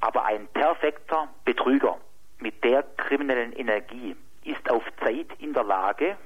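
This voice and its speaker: Husky man on a dull recording. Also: medium pitch, moderate pace. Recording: dull, lo-fi sound.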